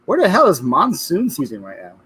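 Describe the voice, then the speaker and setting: A man's voice: on the high side, wildly swooping pitch, brisk, fairly bright tone, slight background noise. A man co-hosting a livestream, joking around, reading the chat aloud and stammering on restarts. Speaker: co-host of a livestream.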